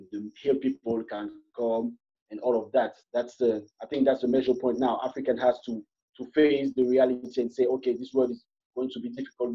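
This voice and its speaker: A man from Cameroon, a guest in a panel discussion, who talks in a halting way with many fillers and restarts. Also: medium pitch, breathy edge. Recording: muffled online call audio.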